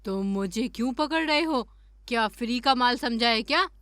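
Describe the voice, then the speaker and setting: A sly magical golden lizard from a cartoon, played by a woman, with a high and piercing bright voice. She taunts and boasts theatrically, with menace under the words. The sound is close and dry. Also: animated, normal speed, no echo. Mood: sly, taunting. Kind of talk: Hindi cartoon story dubbing.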